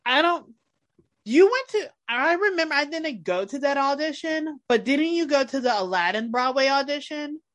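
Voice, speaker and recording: A man with a high voice co-hosting a casual podcast, hesitant and restarting sentences. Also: expressive, normal speed, fairly bright tone. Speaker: podcast co-host. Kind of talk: casual chat.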